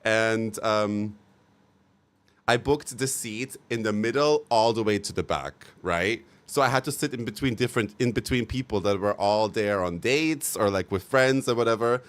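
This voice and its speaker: A young man chats casually with his livestream viewers, rambling and gushing and swearing now and then. His voice sits a bit low for a man, and he piles up fillers and repeats as he talks. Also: normal speed. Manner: gushing and expressive, with many fillers and repeated "so good"; chatty, frank and sometimes profane. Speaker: livestream host.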